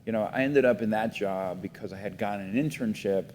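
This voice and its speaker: Man, middle-aged, from Miami, low voice, reflective, many fillers, echoey room. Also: brisk, darkish tone. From Miami, United States. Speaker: guest, foundation CEO. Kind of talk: casual interview talk. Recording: roomy reverb, faint rumble.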